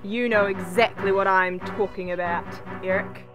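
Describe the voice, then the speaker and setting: A woman's voice: medium pitch, fairly even intonation, normal speed, lightly acted. A woman playing an impatient, menacing alien supreme leader in a superhero spoof film, theatrical and contemptuous. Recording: music under the voice.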